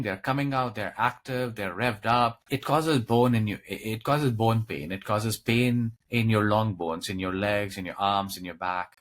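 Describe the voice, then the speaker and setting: A man's voice: mid-range voice, a bit bright. Male medical expert explaining plainly. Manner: explains in plain lay terms. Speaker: expert guest, transplant physician. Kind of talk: explanatory interview answers.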